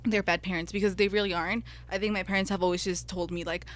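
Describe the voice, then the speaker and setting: A young woman whose first language was Spanish speaks earnestly about her own life as a podcast co-host. Her voice sits in a middle pitch, and she tells her story in a sincere, reflective way. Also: level tone, fast. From United States. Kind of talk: personal reflective podcast talk.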